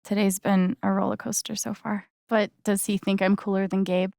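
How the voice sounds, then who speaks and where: A woman's voice: medium pitch, level tone, normal speed, vocal fry, darkish tone, no echo, studio quality, natural voice. An actress playing a guarded, wry young woman in a video game, speaking in a casual, hesitant, understated way. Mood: friendly, curious. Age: young adult. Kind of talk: naturalistic video game voice acting.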